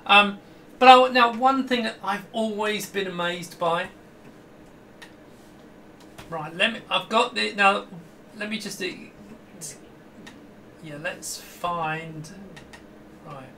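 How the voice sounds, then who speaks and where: A middle-aged man with a high, bright voice talks casually through a hands-on demo. He is enthusiastic and rambles, often dropping a sentence halfway to start another. There is noticeable background noise in the recording. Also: takes it slowly, pauses often. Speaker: host demonstrating a vintage computer. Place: small indoor home room with computer gear.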